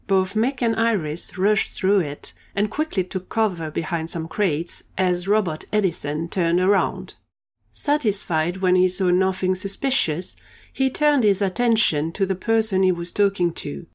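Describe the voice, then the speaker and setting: A woman's voice: lowish voice, a little slow. A woman reading scripted narration for an audio drama, telling the story between scenes.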